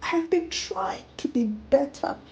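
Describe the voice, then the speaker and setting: A woman's voice: high voice, expressive, a little slow, dull audio, pitched above the performer's own voice. A woman storyteller voicing a frail old mother in a folktale, tearful, pleading and full of remorse. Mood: sobbing remorse.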